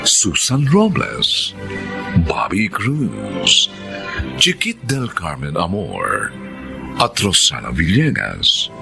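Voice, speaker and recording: A man doing scripted narration for a Filipino radio drama in a solemn, dramatic, deliberate announcing manner. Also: low-pitched, huge pitch swings, creaky, over music. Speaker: narrator and co-director.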